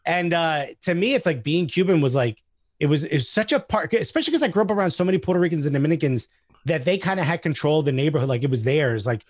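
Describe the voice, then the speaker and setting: A man chatting casually as a comedian guest, telling anecdotes in an animated way and acting out the dialogue. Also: fairly high pitch, fast, no room sound, clean studio sound. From Newark, New Jersey, USA.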